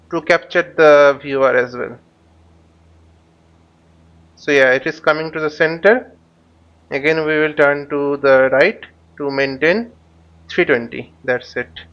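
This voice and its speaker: A man teaching a flight simulator tutorial, speaking haltingly and often repeating words as he explains. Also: fairly high pitch, a bit bright. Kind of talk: spoken tutorial while flying a simulator. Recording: dull lo-fi microphone sound.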